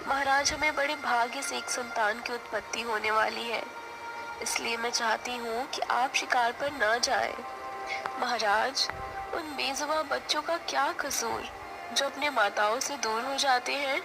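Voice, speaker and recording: A woman playing a gentle, compassionate young queen in a cartoon folk tale, speaking formally and earnestly. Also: a little high, steady tone, measured pace, vocal fry, music in the background, rough recording. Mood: pleading. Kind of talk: cartoon story acting.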